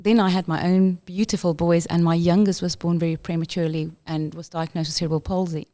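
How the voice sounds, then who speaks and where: Quick-talking South African woman, mellow voice. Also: fairly low pitch. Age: middle-aged. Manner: quick, animated storytelling with self-corrections. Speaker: podcast guest, inclusion leader. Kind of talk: conversational interview.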